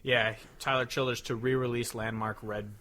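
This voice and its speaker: A man's voice: mid-range voice, little pitch movement, moderate pace, bright, forceful tone. A man co-hosting a podcast in casual, conversational chat. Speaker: podcast co-host.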